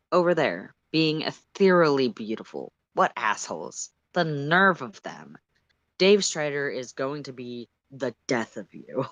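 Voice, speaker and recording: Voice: medium pitch, a bit bright, no echo. A storyteller reading fan fiction aloud in the second person, with an emphatic, dramatic and exasperated delivery. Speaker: storytime livestream reader.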